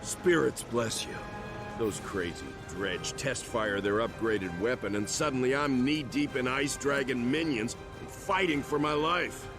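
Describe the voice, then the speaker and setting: An actor, a man, playing a battered, exasperated prisoner in a video game, blunt and excitable. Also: mid-range voice, a little slow, over music, lightly acted. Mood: weary, relieved. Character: battered, exasperated male prisoner, freshly rescued soldier.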